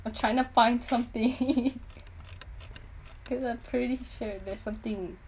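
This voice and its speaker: A woman's voice: fairly high pitch, steady tone, slow, a little mellow, slight background noise. A teenage girl hosting her own podcast, chatting casually and giggling often, with many fillers. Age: teens. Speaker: podcast host. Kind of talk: casual chat.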